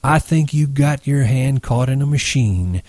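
A man voicing a steady, respected ranch boss who talks in a measured, authoritative way. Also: mid-range voice, no echo, clean studio sound, voice pushed up, lightly acted.

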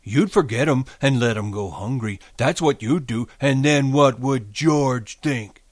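A man voicing a scornful, foul-mouthed giant rabbit from a novel, taunting in drawn-out rural American dialect. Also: medium pitch, no room sound, studio quality, voice pushed up, natural voice. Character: scornful, foul-mouthed gigantic imaginary rabbit, male-voiced.

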